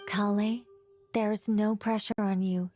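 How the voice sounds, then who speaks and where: A woman voicing a gentle, concerned companion in a video game, with a soft, reassuring manner. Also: medium pitch, level tone, slow, faint music behind, lightly acted. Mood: reassuring, solemn. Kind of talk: dramatic video game voice acting.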